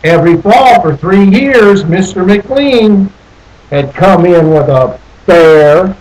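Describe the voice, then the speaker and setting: Slow-talking man reading a children's story. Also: high-pitched, animated, darkish tone, poor audio. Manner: deliberate, asks the listeners questions and repeats phrases. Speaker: storyteller reading a picture book aloud. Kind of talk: read-aloud children's story with asides to listeners.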